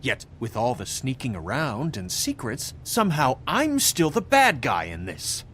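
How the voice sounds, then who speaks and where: An actor playing a suspicious, easily riled husband in a game drama, speaking with sarcasm and indignation. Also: male voice, mid-range voice, wildly swooping pitch, unhurried, fairly bright tone, a little hiss or hum. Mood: sullen, sarcastic. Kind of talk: video game drama acting.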